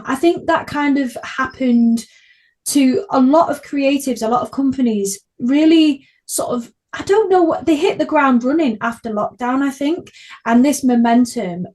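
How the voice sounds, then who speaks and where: High-voiced woman chatting as podcast host. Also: darkish tone. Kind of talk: casual podcast conversation.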